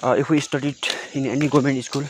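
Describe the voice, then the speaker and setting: A young man from Sikkim, India, with an Indian English accent and Nepali as his first language, hosting his channel in a casual, unscripted talk with many pauses and "uh" fillers. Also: medium pitch, level tone. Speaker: YouTube channel host. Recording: clear outdoor insect and animal noise.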